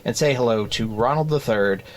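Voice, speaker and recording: Guy hosting a chatty horror podcast, goofy deadpan bits, middle pitch. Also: normal speed. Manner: joking, rambling deadpan bits. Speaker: podcast co-host. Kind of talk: casual podcast chat.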